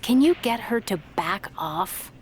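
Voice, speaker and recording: A woman's voice: a little high, animated, measured pace, a little hiss or hum. An actress playing a worried, pleading female podcast host in a scripted video game scene.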